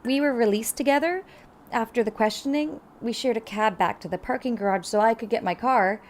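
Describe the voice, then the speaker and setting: Actress as high-voiced, shaken, hesitant woman. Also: a little hiss or hum, lightly acted. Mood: guarded. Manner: hesitant, halting, flustered. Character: shaken young-ish female secretary, traumatized bombing survivor, apologetic. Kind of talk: naturalistic audio drama acting.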